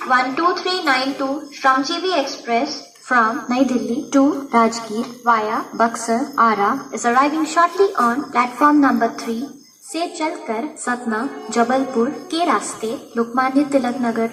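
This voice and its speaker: A woman with an Indian accent making formal scripted railway station announcements in measured, stitched-together phrases. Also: high-pitched. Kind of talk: scripted public announcement in Hindi and English. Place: railway station, announcement heard over PA speakers. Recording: echoey station loudspeaker, distant mic, music.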